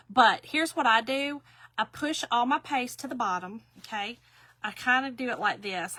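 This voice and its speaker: Fifties woman, folksy craft tutor, bright and chatty. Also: a little high. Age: 50s. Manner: chatty, folksy, addresses viewers as y'all. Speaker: craft demonstrator.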